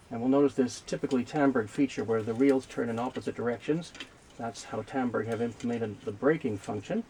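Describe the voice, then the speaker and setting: A man giving an unscripted, hands-on technical demonstration as a video host, explaining things in a measured, methodical way. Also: medium pitch, darkish tone. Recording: clear background noise, mechanical clicks.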